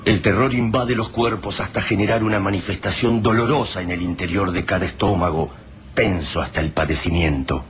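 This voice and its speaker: A man's voice: low voice, fairly even intonation, a little fast, lightly acted. A man narrating a radio drama as a grave, ominous storyteller. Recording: clear noise and ambience behind.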